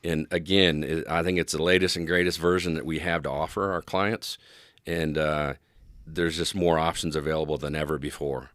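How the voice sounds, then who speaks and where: A man speaking as an expert guest in an interview, conversational and agreeable, often restating and qualifying his points in a halting, measured way. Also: extremely low pitch, steady tone, a bit bright, no echo, studio quality.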